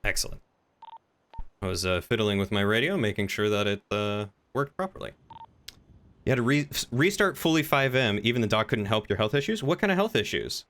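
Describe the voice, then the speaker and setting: Male streamer, deeper register, clear tone. Casual, self-directed game chatter. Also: animated, fairly quick, fairly bright tone.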